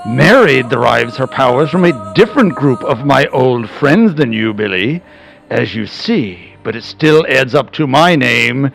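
Man acting solemn old wizard, creaky voice. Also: mid-range voice, expressive, a bit bright, soft background music, rough recording. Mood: solemn, knowing. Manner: measured, solemn pronouncements. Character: wise old male wizard patron, solemn and fatherly. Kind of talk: amateur radio theatre reading of a comic book.

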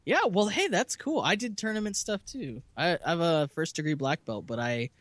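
A man's voice: a little high, animated, moderate pace, no echo. A man co-hosting a casual podcast chat, rambling and self-deprecating, laughing often. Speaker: podcast co-host.

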